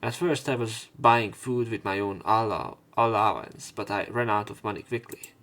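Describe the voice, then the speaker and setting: A man's voice: fairly low pitch, moderate pace, fairly bright tone. A man reading visual novel narration aloud as a let's player, with casual commentary. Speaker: let's play streamer.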